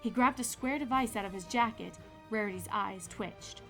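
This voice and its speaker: A woman's voice: on the high side, normal speed, a bit bright. A woman reading scripted story narration as the narrator of a fan fiction. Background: music.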